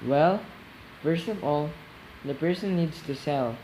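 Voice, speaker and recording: Male amateur as calm, lecturing investor in radio play interview, repeats points for emphasis, faint noise behind. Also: on the high side, takes it slowly, rough recording. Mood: firm, explaining. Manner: didactic, repeats phrases for emphasis. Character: calm, didactic male real estate investor being interviewed.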